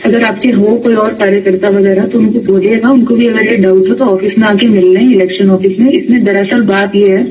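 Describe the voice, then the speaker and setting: A woman's voice: mid-range voice, steady tone, fairly quick, few pauses. A woman official explaining procedure step by step on a replayed phone call, mixing in English terms. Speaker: election official. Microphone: phone call played aloud into a distant mic. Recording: muffled phone recording replayed, distant, noisy.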